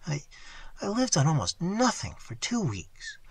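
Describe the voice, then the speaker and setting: Plucky young stenographer, soft and low; a man reads her. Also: wildly swooping pitch, a little slow, gravelly, breathy edge, no room sound, full character voice. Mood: pleading.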